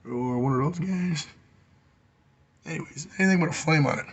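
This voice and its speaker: A man, hoarse and losing his voice, giving a rambling, enthusiastic hobby update on his model car builds. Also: on the high side, moderate pace, noisy background. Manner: rambling, enthusiastic, many fillers.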